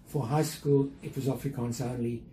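An older man with a South African accent, talking reflectively and carefully as an interview guest, with measured, hesitant phrasing. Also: medium pitch, steady tone, dark tone. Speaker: interview guest, museum chief executive. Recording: roomy echo, faint background noise.

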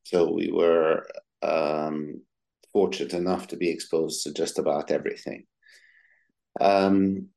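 Deep gravelly hesitant man. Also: a little mellow. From Egypt. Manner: hesitant, many ums and uhs. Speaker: psychiatrist guest. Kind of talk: interview answers.

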